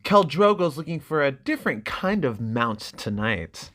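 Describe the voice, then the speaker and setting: A man's voice: on the high side, big pitch swings, measured pace, fairly bright tone, voice pushed up. A man voicing an arrogant, scheming young exiled prince who threatens his sister. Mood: menacing. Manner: haughty, threatening.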